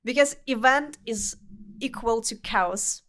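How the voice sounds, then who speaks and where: A young woman with a Russian accent speaks English as a second language, her voice sitting a touch high. She talks earnestly in a casual interview, often restarting her sentences and choosing words carefully. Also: fairly bright tone. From Uzbekistan. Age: young adult. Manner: earnest, halting non-native phrasing with restarts. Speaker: podcast guest.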